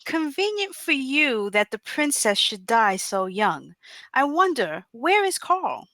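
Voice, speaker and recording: A woman's voice: high-pitched, very animated, takes it slowly, sharp tone, dry recording, exaggerated voice. A woman playing a stern, shrewd fairy godmother with a cool, theatrical manner in a table read. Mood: menacing. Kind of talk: comedic screenplay table read.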